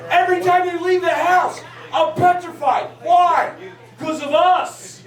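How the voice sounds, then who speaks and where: Brash high-pitched stand-up man in his forties. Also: fairly even intonation, a little slow. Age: 40s. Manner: profane, brash, shouting at the crowd. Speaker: stand-up comedian. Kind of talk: crude stand-up set. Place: large hall at a live show. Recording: echoey hall recorded from a distance with crowd noise. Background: laughing crowd.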